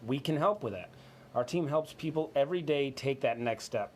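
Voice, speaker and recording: A man's voice: mid-range voice, expressive, moderate pace, a little mellow, a little hiss or hum. A man co-hosting a business discussion, moving between scripted points and informal, conversational remarks. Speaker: co-host of a business talk show.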